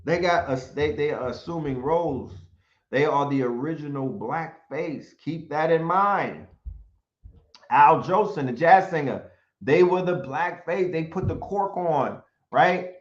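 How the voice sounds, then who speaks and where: A man speaking in an animated, emphatic commentary, often throwing in rhetorical questions and sometimes reading from notes. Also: a little high, measured pace. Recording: roomy, dull lo-fi sound.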